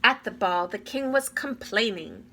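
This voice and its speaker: A woman reading a fairy tale aloud to children with deliberate, expressive phrasing. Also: medium pitch. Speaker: read-aloud storyteller. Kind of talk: expressive children's story narration. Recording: clear background noise.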